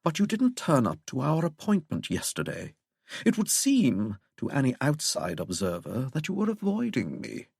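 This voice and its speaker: A man voicing a smug, unflappable English gentleman villain for an audiobook, with a posh, droning, mock-courteous manner. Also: mid-range voice, huge pitch swings, normal speed, vocal fry, husky, dry recording, clean studio sound, voice pushed up, heavily acted. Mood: smooth, needling. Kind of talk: audiobook character voice.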